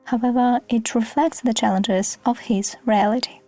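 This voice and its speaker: A woman presents a scripted podcast introduction as its host. Her voice is fairly high and distinctly mellow, with music playing behind it. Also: steady tone, normal speed, no echo.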